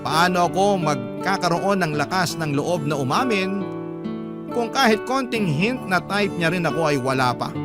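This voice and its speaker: A man's voice: on the high side, lightly acted. A man with a Filipino accent narrating a lovesick young cashier's confession as dramatic first-person radio storytelling. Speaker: narrator reading a letter sender's story.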